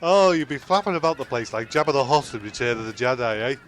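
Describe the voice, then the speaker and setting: A man's voice: mid-range voice, lively intonation, fast, a little hiss or hum, natural voice. A man playing a mocking, sweary castaway in a comedy audio drama. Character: mocking, sweary castaway John, dazed after knock.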